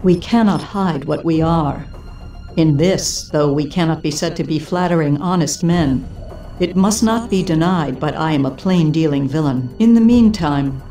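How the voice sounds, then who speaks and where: A coldly logical alien cyborg queen from a video game, played by a woman. Her voice is low-leaning and very dark, with measured, formal menace. It sounds distant, with music behind it. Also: natural voice. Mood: menacing, theatrical. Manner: formal, measured, imperious speech. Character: cold, coolly logical female Vulcan villain turned Borg leader. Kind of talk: scripted video game villain acting.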